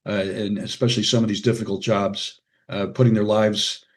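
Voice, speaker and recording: A man's voice: lowish voice, flat delivery, normal speed. An older man with an American accent, a podcast guest reminiscing casually and often quoting people in short anecdotes. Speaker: podcast guest, retired radiologist and author.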